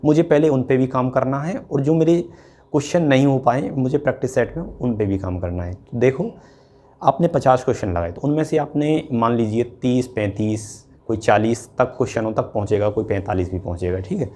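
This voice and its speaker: A man with a medium-pitched voice speaks as an exam coach. He explains things informally and directly to students, often pausing on short check-in words as he goes. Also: dark tone. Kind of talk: informal instructional talk to students.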